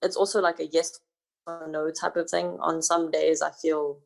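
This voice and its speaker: Gravelly-voiced young man, candid and chatty. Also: a little high, little pitch movement, moderate pace, low-quality recording. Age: 20s. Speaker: panel guest. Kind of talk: casual group discussion.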